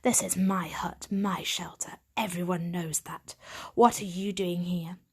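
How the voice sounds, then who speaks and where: A hard-bitten female street cat, put on by a woman reading a storybook aloud, growls her questions in a low, gravelly whisper. She sounds curt and scornful, all suspicion and no patience. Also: fairly even intonation, measured pace, darkish tone. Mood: suspicious. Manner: gruff, curt, snapping questions.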